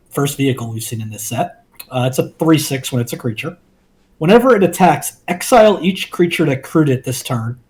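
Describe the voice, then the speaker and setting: A man co-hosting a card game review, talking in a measured, analytical way with some filler ums. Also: fairly high pitch, darkish tone. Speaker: co-host.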